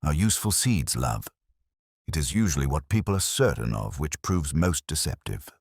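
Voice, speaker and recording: A man voicing a mild, courteous, shrewd police inspector in a radio-style mystery, speaking formally with measured, dry wit. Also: rumbling low, very animated, vocal fry, a little mellow, slightly rough, no echo, full character voice. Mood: mild, wry. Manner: measured, dryly wry, formal.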